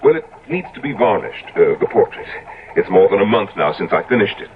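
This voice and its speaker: An actor playing an older, genial London portrait painter in a 1970s radio drama, effusive and earnest. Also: male voice, sits low, sing-song, a little fast. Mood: taken aback. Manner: effusive, exclamatory. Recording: noisy, narrow-band old radio recording.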